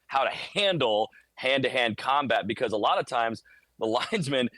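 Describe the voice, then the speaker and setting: A man's voice: mid-range voice, lively intonation, moderate pace, bright, forceful tone, dry recording. A man talking casually as a hockey radio announcer, explaining things conversationally in a live game-day report. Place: high broadcast booth inside a hockey arena.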